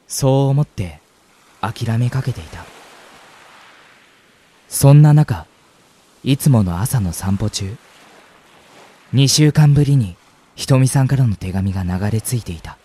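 A young man playing a gentle, sentimental university student in a radio drama, with an earnest, polite manner. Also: medium pitch, lively intonation, unhurried, pauses often, husky. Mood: wistful. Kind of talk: radio drama acting with narration. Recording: music bed under the voice.

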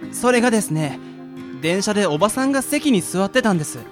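A woman's voice: mid-range voice, animated, fast talker, music in the background, natural voice. A woman playing a polite, earnest, easily impressed train hand strap in a comic radio drama. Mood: flustered storytelling.

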